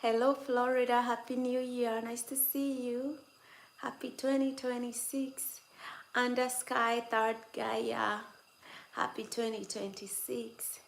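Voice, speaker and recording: Slow-talking woman livestreaming from an echoey room. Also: a little high, level tone, gravelly. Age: adult over twenty-six. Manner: chatty, teasing, laughs often. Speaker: livestream host. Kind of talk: casual livestream chat with viewers. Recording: echoey room with faint background noise.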